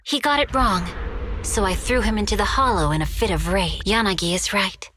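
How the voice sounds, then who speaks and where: An actress playing a stoic, blunt, self-willed chief in a video game, speaking in a terse deadpan. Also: female voice, medium pitch, fairly quick. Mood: flat defiance.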